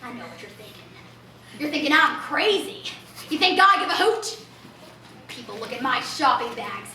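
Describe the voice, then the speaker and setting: An actress playing an eccentric street woman who waits for space aliens, talking in a raspy voice and rambling confrontationally at passersby with cackling laughs in a theatrical comic monologue. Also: high-pitched, background noise. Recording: roomy, distant mic.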